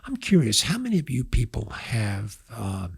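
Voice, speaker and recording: An older man, hoarse, telling stories in a relaxed podcast interview with many pauses and fillers. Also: medium pitch, dry recording, studio quality. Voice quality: gravelly, husky. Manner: reflective storyteller, halting with fillers. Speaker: university president.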